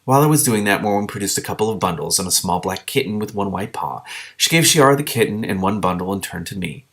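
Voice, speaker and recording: A man reading a children's fantasy novel aloud, with an expressive, informal delivery and casual commentary. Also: lowish voice, fast talker. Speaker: reader of a book with commentary.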